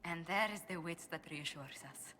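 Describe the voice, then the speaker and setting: An actress playing a poised, gracious diplomat in a video game, speaking in a polished, courteous, elegant way. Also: female voice, on the low side, moderate pace, bright, forceful tone, big-room sound, slight background noise. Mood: affectionate.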